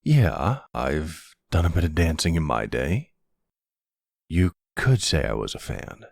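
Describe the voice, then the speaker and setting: Guy doing a smooth talking older mafia boss, super low husky voice, slow and teasing, asmr roleplay. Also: wildly swooping pitch, darkish tone, dry recording, clean studio sound, exaggerated voice. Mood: teasing, flirtatious.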